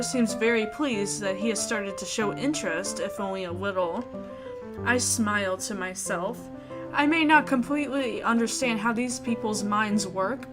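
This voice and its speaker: A woman reading a horror visual novel aloud expressively, with casual asides of her own. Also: mid-range voice. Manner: expressive, acts out the narrator's thoughts. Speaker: host of a game playthrough, reading aloud. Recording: background music under the voice. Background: music.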